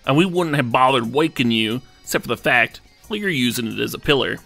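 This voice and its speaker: A man voicing a villainous male chocolate milk glass who leads an outlaw gang, with a slow, menacing Southern drawl. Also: mid-range voice, expressive, vocal fry, sharp tone, soft background music, full character voice.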